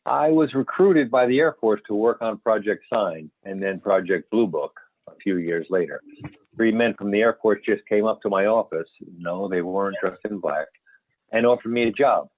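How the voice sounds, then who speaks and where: A man's voice: fairly low pitch, moderate pace, no room sound, close to an everyday voice. A man playing an older, folksy and candid astronomer turned UFO investigator, telling his story in an amateur readers theater over a call. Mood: candid, wry. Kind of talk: amateur readers theater, scripted interview reminiscence. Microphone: phone or videoconference call. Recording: band-limited phone-like conference call audio.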